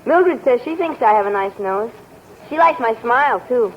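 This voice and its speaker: A man's voice: very high voice, lively intonation, moderate pace. A young man playing an earnest, chatty teenage son in a 1940s radio sitcom. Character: teenage boy, earnest and shy. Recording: muffled old radio broadcast with hiss.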